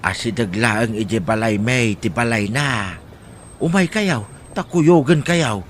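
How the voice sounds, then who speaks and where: A man's voice: mid-range voice, measured pace, a little hiss or hum, lightly acted. A man playing a helpful, folksy villager with an Ilocano accent and an exclamatory way of talking in an audio drama. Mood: friendly. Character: helpful, folksy male villager with a big heart.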